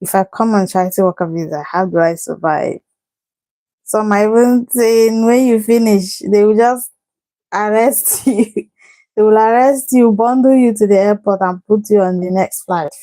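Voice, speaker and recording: A woman's voice: mid-range voice, moderate pace, mellow, dry recording, poor audio. A woman from Cameroon hosting a casual livestream, giving visa advice and encouraging her viewers by name while laughing often.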